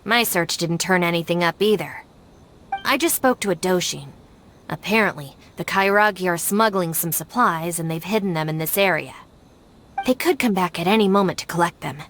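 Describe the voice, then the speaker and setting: An actress playing a stern, capable young female gang deputy in a video game, speaking in a crisp, matter-of-fact way. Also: fairly low pitch, normal speed, fairly bright tone, slight background noise, lightly acted. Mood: focused, matter-of-fact.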